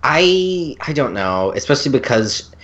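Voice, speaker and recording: A woman's voice: very deep voice, sing-song, measured pace, lo-fi sound. A woman in a casual fan discussion on a podcast, talking in an earnest, hesitant, rambling way. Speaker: podcast co-host or guest.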